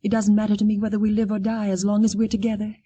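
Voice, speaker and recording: A woman's voice: mid-range voice, flat delivery, racing, warm tone, breathy edge, close to an everyday voice. An actress playing a devoted wife and anxious mother on a doomed planet, in melodramatic 1940s radio style. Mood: devoted, worried. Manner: melodramatic, earnest. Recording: very noisy old 1940 radio broadcast.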